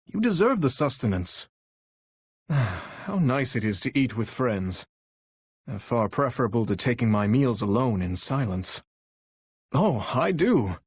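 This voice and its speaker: A man voicing an earnest, courteous young warrior king with a heavy burden for a video game, speaking in formal, measured, courtly diction with a husky voice. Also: medium pitch, no room sound, clean studio sound. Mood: lightly teasing, then relaxed, pensive. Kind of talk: video game character voice lines.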